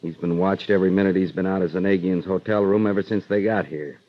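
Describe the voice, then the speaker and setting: Actor as a clipped, low-voiced radio police sergeant. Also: male voice, steady tone, quick pace, close to an everyday voice. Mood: matter-of-fact. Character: plain-spoken, matter-of-fact male police sergeant. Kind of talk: 1940s-50s radio crime drama acting. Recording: dull vintage radio recording.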